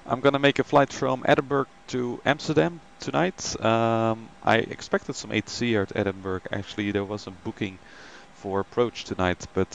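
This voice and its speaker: A man's voice: fairly low pitch, fairly even intonation, normal speed, creaky, hardly any pauses, highs cut off, a little hiss or hum. A man hosting a flight simulator live stream, chatting casually with many ums and greeting viewers by name.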